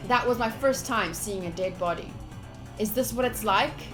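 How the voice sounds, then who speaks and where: Woman, mid-pitched voice, game streamer, reading story text aloud, casual asides, light music behind. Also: normal speed, a bit bright.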